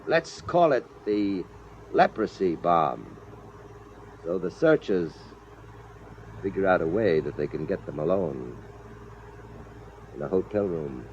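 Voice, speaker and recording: An actor in a 1950s radio drama playing a glib schemer who poses as a film producer to trap a couple. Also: male voice, deep voice, animated, slow, pauses often. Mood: sinister. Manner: glib, wheedling patter that turns to barked orders. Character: smooth-talking scheming male time searcher posing as film producer. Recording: dull old radio transcription with faint hiss.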